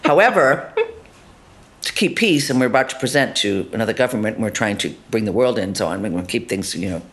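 A woman actor being interviewed about her role, talking animatedly, jumping between loud asides and soft murmurs, often ending with tag questions. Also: a bass voice, animated, fairly quick. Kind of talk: conversational interview.

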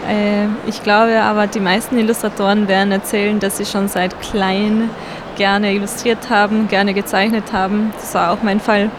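A young woman from El Salvador speaking, answering interview questions about her illustrating in a soft, hesitant way. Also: medium pitch, level tone, normal speed. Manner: soft-spoken, hesitant with fillers. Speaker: book illustrator guest. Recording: street noise behind.

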